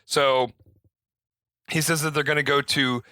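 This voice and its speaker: A man co-hosting a film podcast in casual chat, agreeable and quick to affirm what is said. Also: a little high, fairly even intonation, sharp tone, dry recording, studio quality. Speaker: podcast co-host.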